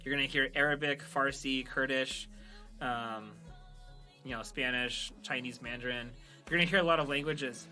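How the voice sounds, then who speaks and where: A male podcast host with a strikingly bright, forward voice. He talks casually and earnestly, full of enthusiasm for what he is presenting. Music plays softly behind him. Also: medium pitch, fairly even intonation, normal speed. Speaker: podcast host and audio drama creator. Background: background music.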